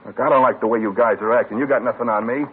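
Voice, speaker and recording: A man's voice: medium pitch, steady tone, rapid-fire, breathy edge, natural voice. An actor playing a cagey, defiant ex-con under interrogation in a 1950s radio crime drama, talking in slangy tough-guy street speech. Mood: sullen. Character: sullen male ex-con suspect, cagey and defiant. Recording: tinny old radio broadcast.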